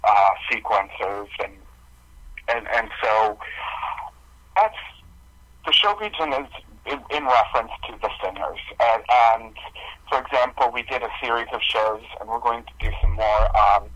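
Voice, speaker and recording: A man's voice: medium pitch, unhurried, fairly bright tone, dry recording, highs cut off. A man speaking as a musician guest in a casual interview, hesitant and self-deprecating as he explains himself.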